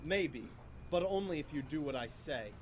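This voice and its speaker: A man's voice: fairly high pitch, lively intonation, normal speed, husky. A man acting a menacing, jealous college rival in a live student radio play, taunting and threatening. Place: small college radio studio.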